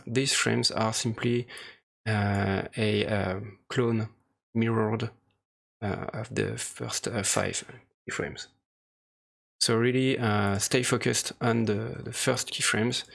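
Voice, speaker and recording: A man presenting an unscripted software tutorial, speaking hesitantly in short, halting phrases. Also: medium pitch, gravelly. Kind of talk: unscripted screen-recorded tutorial walkthrough. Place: voice-over for a screen recording.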